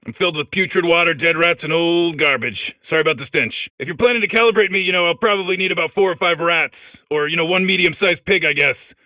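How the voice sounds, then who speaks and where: Bright, chatty, cheerful plague robot. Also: male voice, a little high, fast, few pauses, no room sound, rough recording. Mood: chatty, apologetic. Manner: chatty, eager, folksy, unashamed. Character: cheerful male talking robot core full of sewage and plague. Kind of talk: comedic video game character monologue.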